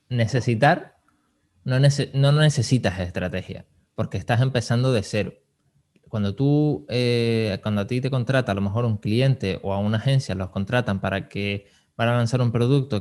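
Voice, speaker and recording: A man's voice: on the low side, a little mellow. A man speaking as a podcast host and advisor, explaining things in an informal, conversational way. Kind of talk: casual conversational advice.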